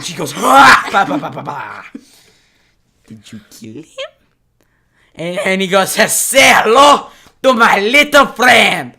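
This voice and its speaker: A male podcast co-host telling a goofy improvised story, silly and jumping between whispers and shouts. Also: sits high.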